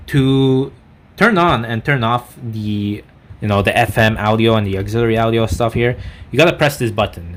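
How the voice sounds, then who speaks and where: A man giving an unscripted product review in a halting, rambling way, often correcting himself mid-sentence. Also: medium pitch, fairly bright tone, a little hiss or hum. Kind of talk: unscripted gadget demonstration.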